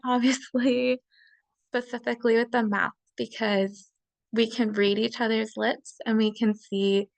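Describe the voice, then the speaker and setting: A young woman walks through a class slideshow over a video call, chatty and quick to laugh, dropping fillers as she goes. Her voice sits a little high and has a gravelly fry, and she takes her time. The sound is dry and close, with no room around it. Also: dry recording. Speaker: student presenting a class assignment. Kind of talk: casual slideshow presentation.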